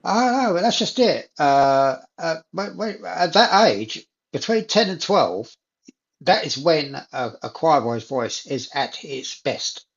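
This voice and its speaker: A man's voice: a little high, lively intonation, slow pace. Man chatting casually through dull lo-fi audio. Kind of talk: casual chat.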